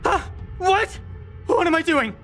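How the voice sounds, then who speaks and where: A flustered young game heroine, with a high voice that leaps around expressively. Faint music sits behind her. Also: animated, takes it slowly, full character voice. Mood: alarmed. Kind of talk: video game voice acting.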